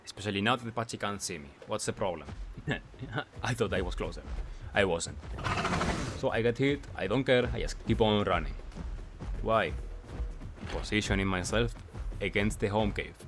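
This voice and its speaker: Male gaming commentator, fairly low pitch, explains tactics in brief phrases with question-and-answer delivery, music underneath. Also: moderate pace, pauses often. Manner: asks himself questions and answers them. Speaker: gaming video creator. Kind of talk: gameplay commentary.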